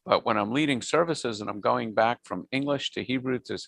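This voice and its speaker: A man's voice: mid-range voice, fairly quick, creaky, a bit bright, no room sound, clean studio sound. A man in his sixties who leads services, chatting casually and telling rambling stories with frequent self-corrections. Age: 60s. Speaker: service leader. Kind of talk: casual chat. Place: synagogue service.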